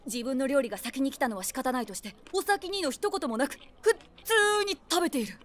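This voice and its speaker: A nosy middle-aged woman with a runaway imagination, telling a comic audio drama as inner monologue. Her voice is fairly high and very animated. She drops wry, theatrical asides as she passes judgment. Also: fairly quick. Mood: amused commentary. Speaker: narrator and main character.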